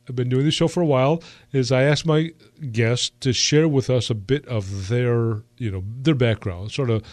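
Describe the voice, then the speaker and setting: A man's voice: medium pitch, dry recording. Hesitant man hosting a radio interview. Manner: hesitant, filler-heavy, restarts. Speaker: radio show host. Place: radio studio.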